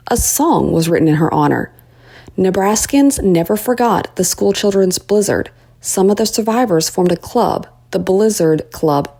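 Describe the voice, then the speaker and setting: A woman narrating a children's story in an expressive read-aloud style. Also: on the low side.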